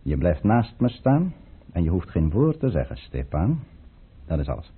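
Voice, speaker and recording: Radio play count: authoritative schemer, rough husky tone, muffled vintage recording. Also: male voice, sits low, sing-song, full character voice. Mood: commanding. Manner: formal, deliberate, authoritative. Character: commanding, coolly calculating count, a conspirator against the tsar. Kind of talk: radio drama acting.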